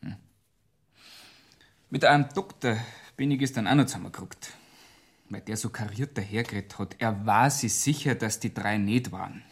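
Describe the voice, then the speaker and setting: An actor playing a beleaguered police inspector with a Bavarian accent, gruff, curt and defensive, in a radio drama. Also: male voice, lowish voice, normal speed, long pauses, natural voice. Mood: probing.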